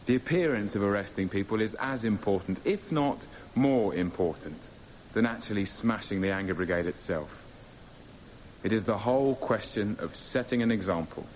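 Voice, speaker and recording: An actor playing an earnest male radical on trial, appealing deliberately and intensely to a jury in a radio drama. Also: deep voice, natural voice. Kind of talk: radio drama courtroom speech. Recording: muffled, hissy radio drama recording.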